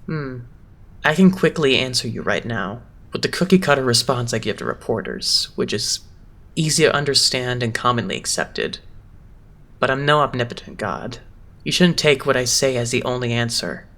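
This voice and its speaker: A man acting a poised, condescending young idol leader in an anime-style dub, measured and theatrical. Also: mid-range voice, lively intonation, bright, forceful tone. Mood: lofty, patient.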